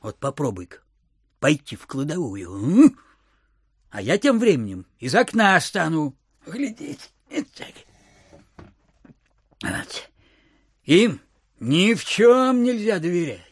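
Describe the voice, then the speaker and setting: An actor playing a miserly, suspicious old landowner in an audio drama, muttering and repeating his words in a querulous, husky voice. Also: on the high side, sing-song, slow, pauses often, dry recording, studio quality, full character voice. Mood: scolding, bossy. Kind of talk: Russian audio drama acting.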